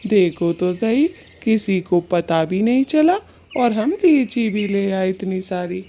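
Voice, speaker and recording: A woman voicing a sly, boastful male bird thief, an agreeable husband in a children's cartoon, talking slowly and smugly. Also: medium pitch, animated, mellow. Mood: smug, pleased. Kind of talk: Hindi children's cartoon dialogue.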